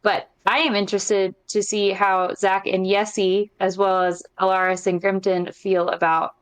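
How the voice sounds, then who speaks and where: A woman's voice: mid-range voice, little pitch movement, unhurried, smooth. A woman on a podcast, chatting casually and reading out listener questions as a co-host. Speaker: podcast host and tabletop player.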